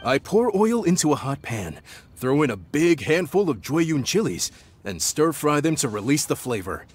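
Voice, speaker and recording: A man's voice: a little high, big pitch swings, moderate pace, a little hiss or hum. A man playing a genial, proud restaurant chef in a video game, courteous and enthusiastic with customers.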